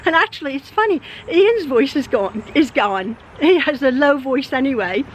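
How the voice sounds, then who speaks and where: An older woman with a high, hoarse voice, worn out after an illness, chatting outdoors in a rambling, heartfelt way. Birds sing faintly behind her under a light hiss of noise. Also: lively intonation, moderate pace. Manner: rambling, warm, frequent laughs and fillers. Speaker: channel host. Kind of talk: casual vlog chat. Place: outdoors in a backyard.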